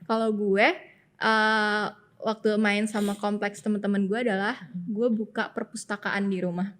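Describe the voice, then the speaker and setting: A young woman with a Jakarta Indonesian accent, telling personal stories in a casual, colloquial, animated way as a podcast co-host. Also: mid-range voice, a little slow. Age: young adult. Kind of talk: casual chat, personal storytelling.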